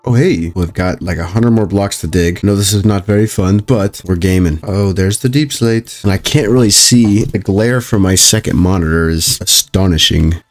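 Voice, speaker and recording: A young man giving casual, joking commentary while playing a video game, with a calm voice and chatty asides to his viewers. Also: low voice, moderate pace, few pauses. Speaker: gaming YouTuber.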